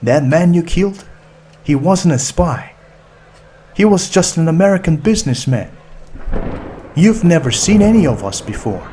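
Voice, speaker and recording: A man's voice: fairly high pitch, lively intonation, normal speed, long pauses, mellow, slight background noise, pitched above the performer's own voice, close to an everyday voice. A man playing a middle-aged father held hostage, protective and argumentative, in an audiobook thriller. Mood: arguing, pleading.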